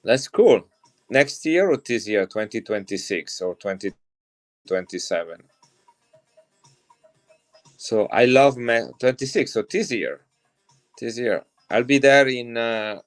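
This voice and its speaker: A man's voice: on the low side, animated, takes it slowly, pauses often, soft background music. Chatty Italian man selling coins live. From Italy. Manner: chatty, enthusiastic, talks to viewers directly. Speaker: coin seller on a live stream. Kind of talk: casual live-selling chat.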